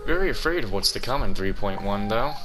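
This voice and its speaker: A man's voice: lowish voice, expressive, a little fast, over music. A man giving casual video game playthrough commentary as host, talking in a hesitant, rambling way.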